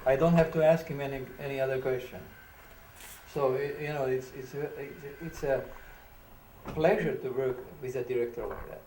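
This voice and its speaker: Halting man in an echoey room. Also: mid-range voice, steady tone, dark tone. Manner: halting, restarts phrases. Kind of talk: casual interview. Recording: roomy, distant mic with faint noise.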